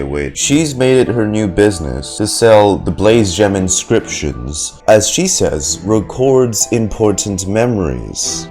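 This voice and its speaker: A man's voice: fairly low pitch, darkish tone. A man giving casual, musing commentary as a streamer reacting to a game, often repeating words as he thinks aloud. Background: faint game music.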